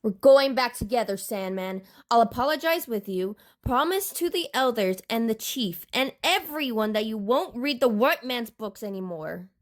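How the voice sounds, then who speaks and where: A woman's voice: a little high, lively intonation, moderate pace, hardly any pauses, close to an everyday voice. A woman voicing a protective, reproachful older sister from a tribe, pleading and scolding in a dramatic manga dub. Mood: firm, pleading.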